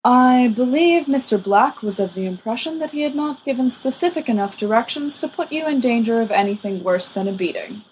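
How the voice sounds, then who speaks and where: A woman voicing a serene, courteous old male wizard headmaster in an audiobook, measured, formal and gently firm. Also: on the high side, lively intonation, a little hiss or hum, voice pushed up, natural voice, low-quality recording. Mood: calm, benevolent. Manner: measured, formal, politely wordy. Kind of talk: audiobook character reading.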